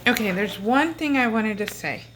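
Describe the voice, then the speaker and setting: A woman's voice: a little high, expressive, normal speed. A woman explaining something casually, like a parent talking to family. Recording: noisy home recording.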